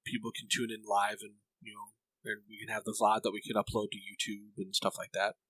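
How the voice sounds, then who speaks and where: A man's voice: on the low side, completely flat delivery, a little fast, a bit bright, dry recording, poor audio. A man co-hosting a casual podcast, chatty and jokey. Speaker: podcast co-host.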